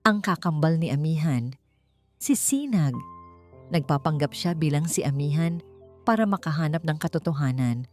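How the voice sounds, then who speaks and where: A determined investigator seeking justice read by a woman narrator in an audio story. The delivery is earnest and forthright, in a low pitch over faint music. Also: lively intonation, moderate pace, a little mellow. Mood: urgent, earnest.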